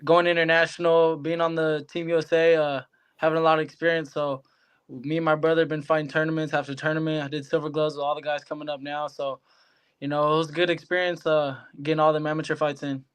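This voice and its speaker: A man's voice: a little high, level tone, quick pace, smooth. A young American man giving casual interview answers as a guest athlete, in colloquial, laid-back phrasing. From Hawaii, United States. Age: young adult. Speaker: professional boxer, guest.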